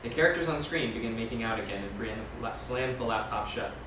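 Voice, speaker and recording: A man's voice: mid-range voice, quick pace. A man reading screenplay scene directions aloud as scripted narration at a table read. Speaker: stage directions reader. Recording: very echoey room, distant mic, noisy.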